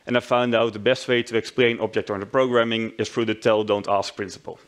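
A man's voice: fairly low pitch, little pitch movement, brisk. Dutch-accented man giving a conference talk. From Netherlands. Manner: friendly, self-deprecating, with frequent restarts. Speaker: conference speaker. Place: large conference hall stage.

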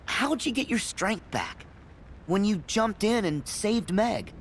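An actor playing an upbeat, earnest teenage boy hero in an animated fantasy game, eager and sincere. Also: high voice, slow, slight background noise, heavily acted. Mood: curious, friendly.

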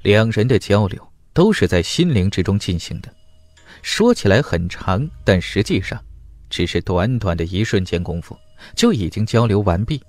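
Male fantasy audiobook narrator, dramatic and very varied in pitch, dry close sound. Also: lively intonation, normal speed, darkish tone, dry recording.

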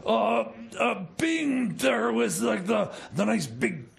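A man's voice: high-pitched, lively intonation, slow, gravelly, exaggerated voice. A man playing a jittery, scheming inmate in a comedy radio play, stammering and rambling in fragments. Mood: hesitant, scheming. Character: jittery scheming male inmate cellmate making shady deals. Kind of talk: improvised comedy radio theater.

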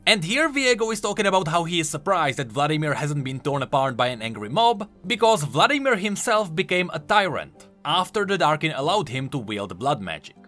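A man's voice: on the high side, big pitch swings, a little fast, hardly any pauses, sharp tone. A man giving enthusiastic, emphatic explanatory commentary on video game lore. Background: faint music.

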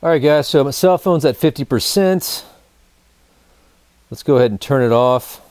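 A man in his thirties talks casually to the camera as he demonstrates gear. His voice sits at an average pitch and his phrasing is colloquial, like a host talking to regular viewers. The sound is dry, as in a small indoor studio. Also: normal speed, darkish tone, no room sound. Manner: informal, colloquial, addressing viewers as guys. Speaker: host of a survival gear review show.

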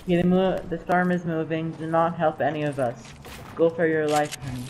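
Sassy, low-pitched woman over music. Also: flat delivery, heavy background noise. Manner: mock-offended, sassy, drawn-out valley-girl talk. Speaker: player in online squad game. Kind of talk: casual game voice chat banter. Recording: game voice chat, music behind.

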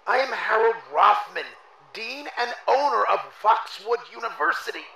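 An actor playing a pompous university dean in a horror drama, indignant and bewildered, with a stammering, pleading manner. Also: male voice, high voice, lively intonation, moderate pace, gravelly, sharp tone, exaggerated voice. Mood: confused, indignant. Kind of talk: analog horror drama acting. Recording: echoey room with faint noise.

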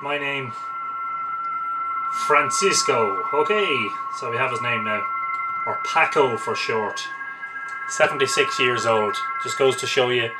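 An Irish man with a mid-pitched voice talks through what he is doing in an unhurried way, partly to himself. He sounds some distance from the camera mic in a small home room, with loud noise behind him. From Ireland. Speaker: amateur radio operator demonstrating. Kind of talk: live commentary while operating a radio. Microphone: camera a couple of metres away.

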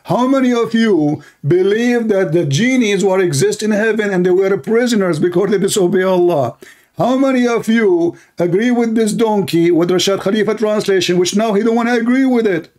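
High-voiced man in a heated debate. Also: normal speed, a little mellow. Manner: combative, mocking, repeats demands. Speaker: debate host.

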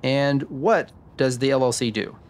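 A man playing a genial, sharp tax expert in a scripted noir radio drama, upbeat and explanatory. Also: mid-range voice, measured pace, lightly acted. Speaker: podcast host acting in a radio drama.

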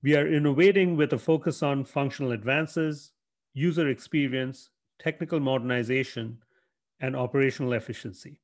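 A man delivers a prepared corporate presentation at a steady, formal pace. His pitch sits in an ordinary middle range, and he reads his text evenly. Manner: measured, formal, reads from a script. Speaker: product presenter.

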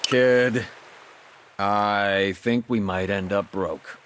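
A man voicing an excitable, goofy young vacationer in an anime mobile game, with exaggerated comic delivery. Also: lowish voice, extremely slow, noisy background. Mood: weary, queasy. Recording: music behind the voice.

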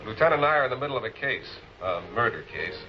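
An actor with an American accent playing a composed, probing public prosecutor in a 1940s television crime drama. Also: male voice, mid-range voice, big pitch swings, fast talker, over music, lightly acted. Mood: brisk, composed. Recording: muffled, noisy old 16 mm film soundtrack.

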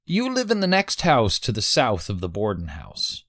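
Woman doing a formal old-time prosecutor, really low voice, lively intonation, precise probing questions, courtroom drama. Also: moderate pace, heavily acted. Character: formal, methodical male prosecuting attorney of the 1890s. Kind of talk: dramatic reading of a court transcript.

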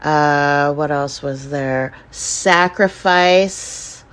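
A woman's voice: low-pitched, very slow, fairly bright tone, clear, resonant tone. A woman podcast host telling a casual first-person story in a chatty way, acting out remarks people made. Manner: chatty, acts out quoted remarks.

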